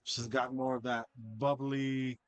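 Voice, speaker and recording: A man's voice: mid-range voice, little pitch movement, a little slow. A man giving casual color commentary, easygoing and thoughtful, with brief remarks. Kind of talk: casual sports commentary banter.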